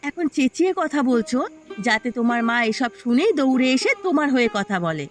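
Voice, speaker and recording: Lady doing a sarcastic nagging village wife for a cartoon, really high voice, resentful, music clearly behind. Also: expressive, brisk. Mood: angry, accusing. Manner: scolding, sarcastic. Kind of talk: scripted cartoon dialogue.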